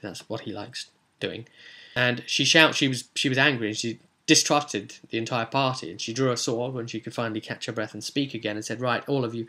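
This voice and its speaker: A man's voice: medium pitch, brisk, bright, forceful tone. A man as game master, casually recapping a tabletop roleplay session in a rambling way, with many ums and restarts.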